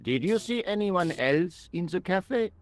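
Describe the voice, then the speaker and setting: A man playing a pompous, self-important French police detective, speaking deliberately with a French accent in a video game. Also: high voice, expressive. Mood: suave, formal. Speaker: police inspector.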